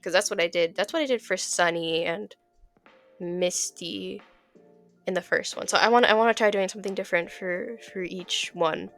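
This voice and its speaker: A woman's voice: lowish voice, steady tone, moderate pace, fairly bright tone, faint music behind. A young woman narrating a casual art vlog, laughing often and tripping over her words while her voice is still off from being sick. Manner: giggly, stumbles and repeats words. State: recovering from illness, voice a bit off. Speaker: video creator.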